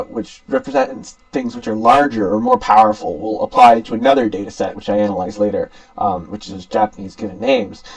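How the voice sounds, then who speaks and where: A man's voice: on the low side, vocal fry, recorded from a distance, poor audio. A young man giving an academic student presentation in an informal, somewhat hesitant explaining manner. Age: young adult.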